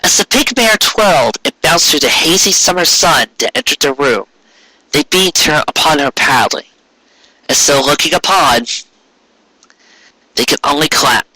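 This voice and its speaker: A man reading a story aloud as an expressive narrator. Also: medium pitch, breathy edge, no echo, low-quality recording. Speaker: narrator, fan fiction reader.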